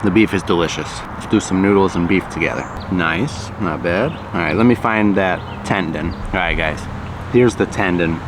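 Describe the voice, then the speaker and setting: An American man with a fairly low voice talks slowly and plainly. He pauses between bites of food and stops to explain words for learners. Traffic and street noise hum steadily behind him. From United States. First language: English. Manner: slow, deliberate, explains words as he goes. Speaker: language teacher and vlogger. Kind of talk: slow, clear English lesson vlog.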